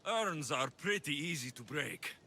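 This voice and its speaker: A man's voice: a little high, lively intonation, unhurried, full character voice. A man playing a confident, wry Greek mercenary ship commander in a video game cutscene. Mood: wry, relieved. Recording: loud sea wash and boat noise behind. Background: waves, surf and a creaking boat.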